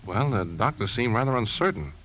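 A man's voice: medium pitch, big pitch swings, fairly quick, fairly bright tone. A man playing a kindly, concerned, slightly hesitant uncle in a 1950s radio drama. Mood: troubled. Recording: noisy 1950s radio broadcast recording.

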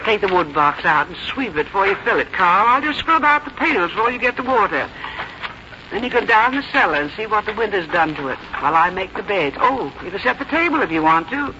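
A woman's voice: medium pitch, sing-song, a little fast, natural voice. An actress playing a fussy, fond but bossy older American farm mother in a 1950s radio drama, with homespun, matter-of-fact phrasing. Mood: brisk, fussy. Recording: muffled, noisy old radio broadcast recording.

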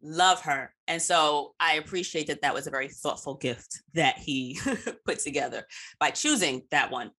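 A woman's voice: deep voice, moderate pace, bright, forceful tone. A woman hosting a podcast in casual chat, speaking informally and emphatically and swearing freely. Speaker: podcast host.

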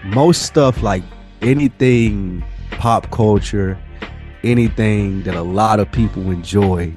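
An American man with a slightly low voice chats as a podcast co-host. He is informal and probing, with a teasing way of putting questions and points, and music runs along with the talk. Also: slow, darkish tone. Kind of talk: casual conversational chat.

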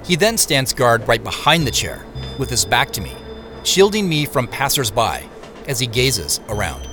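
A man's voice: medium pitch, big pitch swings, normal speed, over music. A man acting in an audio drama as a wary, uneasy protagonist who also narrates the story. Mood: uneasy narrating.

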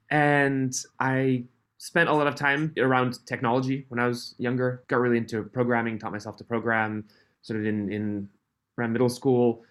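A man in his thirties, raised in Switzerland, with a mixed, faintly Canadian accent, chatting as a podcast guest, friendly and quick to laugh. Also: medium pitch. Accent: mixed, Canadian-tinged. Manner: friendly, laughs often, restarts phrases. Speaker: podcast guest, company founder. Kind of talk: casual interview chat.

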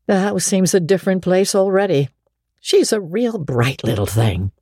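A woman's voice: fairly low pitch, animated, moderate pace, mellow, breathy edge, dry recording, studio quality, voice pushed up. A woman narrator playing a reserved, stern but fond older farm woman in a storybook, matter-of-fact and curt. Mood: defensive, fond.

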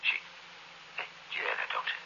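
An actor playing a brisk police sergeant in an old-time radio drama, questioning urgently and excitably. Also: male voice. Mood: alarmed. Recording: muffled old radio recording, faint hiss.

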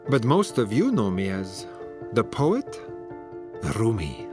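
A solemn historic poet. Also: male voice, fairly low pitch, very animated, slow. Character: grave adult male poet from history. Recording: drama clip with music bed.

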